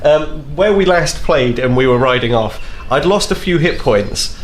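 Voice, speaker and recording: A man's voice: on the high side, lively intonation, a little slow, slight background noise. A man playing a tabletop game, talking hesitantly and repeating himself with lots of fillers. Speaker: player. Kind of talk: casual tabletop game play.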